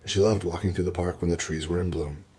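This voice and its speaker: Husky, deep-voiced grieving father. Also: steady tone, fast, warm tone. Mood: grieving, subdued. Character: grieving, well-off father, subdued and polite. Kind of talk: crime radio play acting.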